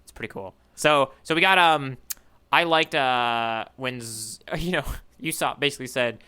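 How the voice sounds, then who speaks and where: A man's voice: mid-range voice, moderate pace, sharp tone, clean tone. A man co-hosting a podcast, chatting casually about manga in a rambling, joking way. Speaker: podcast co-host.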